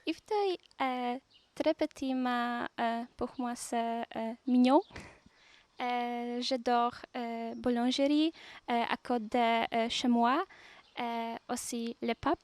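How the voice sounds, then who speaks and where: A young woman from Poland with a Polish accent, a volunteer speaking French hesitantly with many pauses. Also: fairly high pitch, fairly even intonation, a little mellow, no room sound. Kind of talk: interview testimony.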